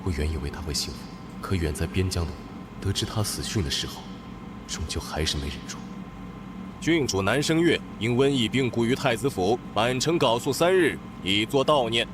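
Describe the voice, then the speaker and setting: A man voicing a deep-voiced fierce general. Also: animated, moderate pace, noisy background, natural voice. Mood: commanding. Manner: commanding and possessive. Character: fierce devoted general, young man, protective elder brother. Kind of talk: animated drama dub.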